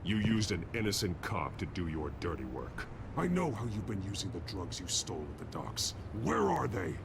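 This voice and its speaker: A stern masked crimefighter in a video game. His very deep voice is animated and gravelly, giving blunt orders and accusations. Clear background noise surrounds him. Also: moderate pace, full character voice. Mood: stern, accusing. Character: stern, brooding male masked vigilante. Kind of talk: dramatic video game acting.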